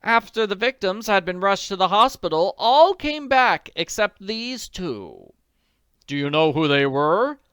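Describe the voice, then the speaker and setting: A woman voicing an agitated, elegant middle-aged woman in a storybook, pleading in a breathless, drawn-out way. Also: medium pitch, very animated, a bit bright, smooth, no room sound, studio quality, voice pushed up. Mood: distressed. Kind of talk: audiobook character voice.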